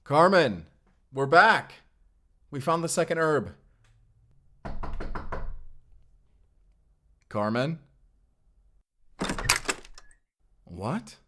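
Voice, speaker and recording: A man's voice: fairly high pitch, sing-song, slow, noisy background. A man playing a wary, protective vampire slayer in an audio roleplay, speaking closely to the listener. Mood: calling out. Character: mysterious Spanish male vampire slayer, earnest, protective, wary. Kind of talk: cinematic audio roleplay acting.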